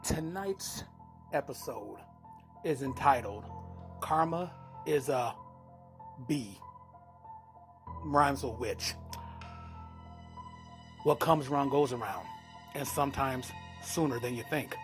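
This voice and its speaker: A man in his fifties hosts a horror radio programme in a mid-pitched voice. He delivers his scripted introduction very slowly, phrase by phrase, with soft music behind him. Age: 50s. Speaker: host of old-time radio horror show. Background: faint music.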